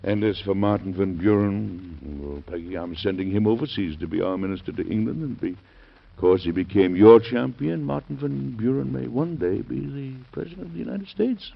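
A gravelly old president. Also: male voice, fairly low pitch. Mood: tender. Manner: warm, fatherly, halting pauses. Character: elderly male U.S. president, stubborn, gentle. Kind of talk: 1940s radio acting. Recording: muffled vintage radio broadcast.

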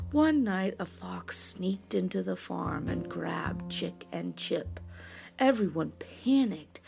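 A woman narrating a children's picture book in an animated storytelling style, over soft music. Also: fairly high pitch, vocal fry. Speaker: storyteller reading children's books.

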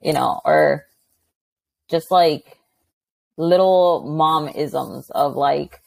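Low-voiced woman, chatty and animated. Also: very slow, creaky, no echo. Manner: animated and chatty, acting out quoted exchanges. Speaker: high school teacher, podcast guest. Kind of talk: casual interview conversation.

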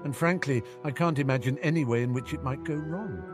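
A man voicing a sardonic, clever schoolboy wizard with a dry, teasing, deliberate manner in an audiobook. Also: mid-range voice, music in the background, voice pushed up, close to an everyday voice. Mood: smug sarcasm.

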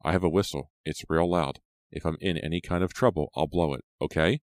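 A man's voice: rumbling low, a little fast, no echo. A man voicing a worried but determined teenage girl, earnest and insistent, in an audiobook story.

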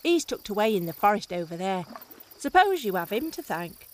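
An actress playing a brusque, self-interested heiress in a fantasy audio drama, offhand and dry. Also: female voice, mid-range voice, very animated, normal speed, natural voice. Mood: dry, casual.

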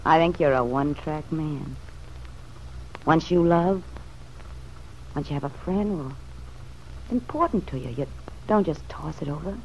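World-weary, street-wise woman with a gravelly edge. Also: sits low, unhurried, long pauses. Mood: wistful. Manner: slangy, emotional, hushed then flaring. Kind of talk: old-time radio drama acting. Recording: dull, noisy vintage radio recording.

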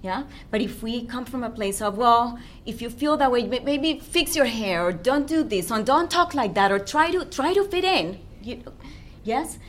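A woman's voice: a little high, expressive, normal speed, slight background noise. A woman who works as a relationship coach, speaking as an interview guest and often checking in with her listener. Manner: warm, earnest, checks in with "yeah?".

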